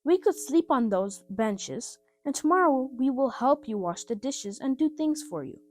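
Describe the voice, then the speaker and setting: A woman voicing a caring, polite, gently bossy orphan girl, an older sister, in a storybook reading. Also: sits high, expressive, a little slow, pitched above the performer's own voice. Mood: polite, hopeful.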